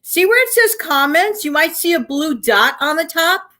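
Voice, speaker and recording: A woman with a New York accent co-hosts a casual live stream, lively and full of instructions. Her voice sits high and sounds bright. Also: normal speed. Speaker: co-host.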